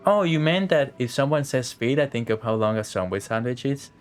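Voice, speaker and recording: Guy from costa rica streaming a game, rambly n jokey, swears a bit, quiet music under. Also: medium pitch, lively intonation, a little fast. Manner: rambling, joking, swears casually. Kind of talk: casual live stream chat.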